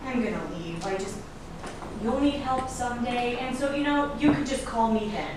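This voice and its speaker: Actress doing a nosy earnest wife in a stage play, high voice, distant mic with noise. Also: female voice, normal speed, close to an everyday voice. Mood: flustered. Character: meddling, apologetic detective's wife, earnest and nosy. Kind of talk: staged reading of a stage drama. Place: echoey room at a staged reading. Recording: echoey room, distant mic, noisy background.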